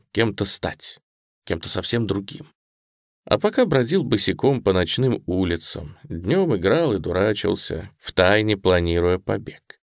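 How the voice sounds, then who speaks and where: A man narrating a first-person memoir audiobook in a reflective, expressive way. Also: lowish voice, no echo, clean studio sound.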